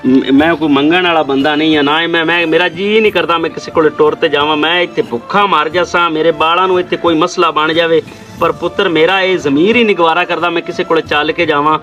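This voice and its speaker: A man's voice: fairly high pitch, fairly even intonation, fast, fairly bright tone, natural voice. A man with a rural Pakistani Punjabi accent acting a poor, proud, worried village father in a weary, rambling way. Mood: grateful, proud. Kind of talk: amateur moral drama acting. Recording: dull lo-fi video with faint music.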